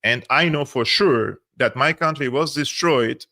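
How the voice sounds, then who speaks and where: A man's voice: mid-range voice, measured pace, vocal fry, pushed and bright. A man from Syria hosting a political livestream, talking earnestly and often repeating words as he searches for phrasing. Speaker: political commentary host.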